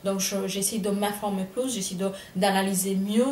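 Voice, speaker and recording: A middle-aged woman from India speaks with an Indian accent, choosing her words slowly and reworking her phrases as she goes. Her voice carries some room echo, and a faint ambient hum sits underneath. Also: mid-range voice, little pitch movement, darkish tone. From Bengal, India. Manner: thoughtful, many hesitations and self-corrections. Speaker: writer. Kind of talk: reflective interview answers.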